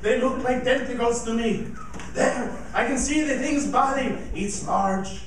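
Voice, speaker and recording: A man acting a frightened radio reporter giving a halting, breathless eyewitness account in an old-time radio drama performed on stage. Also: extremely high pitch. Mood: horrified. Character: frightened radio reporter witnessing an alien emerge. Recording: very echoey theatre stage, distant, faint noise.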